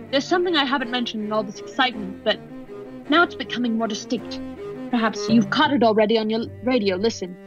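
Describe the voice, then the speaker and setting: A woman playing an excited, increasingly horrified radio news reporter giving dramatic, halting eyewitness commentary in a radio play. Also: a little high, close to an everyday voice. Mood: urgent, excited. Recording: dull lo-fi audio under music.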